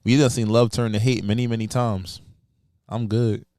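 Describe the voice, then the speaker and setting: A low-voiced man gives a steady, thoughtful answer on a podcast. His speech is relaxed and slangy. The sound is dry and close. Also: moderate pace, no echo. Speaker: podcast co-host. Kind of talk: casual podcast chat.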